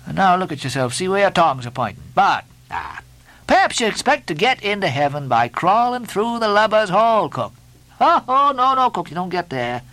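A bossy, mocking ship's officer, played by a male narrator, pitched high and swinging widely in tone. He badgers with theatrical relish, bright and forceful, firing off nautical orders and mock-solemn questions as in an audiobook sea tale. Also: very animated, moderate pace, no echo, heavily acted. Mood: teasing, commanding.

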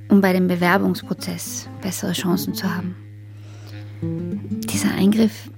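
An actress in a radio drama playing a detached, stubborn woman who has lost her sense of self, speaking in plain, deliberate, earnest statements. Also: mid-range voice, gravelly, dark tone, natural voice.

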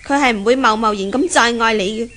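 A woman's voice: fairly high pitch, quick pace, fairly bright tone, slight background noise, lightly acted. An actress playing a proud, unyielding young woman in a Hong Kong Cantonese radio drama, speaking in an earnest, indignant way. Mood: proud, firm.

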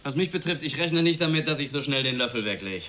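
An actor playing a sardonic, boastful drifter with a wry, domineering manner in a radio play. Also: male voice, mid-range voice, expressive, quick pace, bright, forceful tone. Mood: boastful, deadpan. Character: cocky, sardonic drifter and dealer, domineering toward women. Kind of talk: German radio play acting. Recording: noisy 1980s radio play recording.

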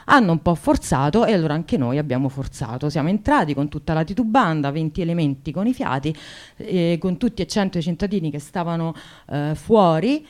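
A woman talking spontaneously on the radio about a protest, often halting and searching for words. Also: low voice, expressive, a little mellow. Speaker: activist guest, singer.

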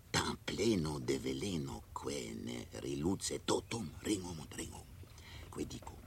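A man's voice: extremely low pitch, gravelly, a bit bright, slight background noise, exaggerated voice. A man playing an ugly, superstitious, lecherous monk in a radio drama, babbling conspiratorially in broken mixed Latin, Romance and German.

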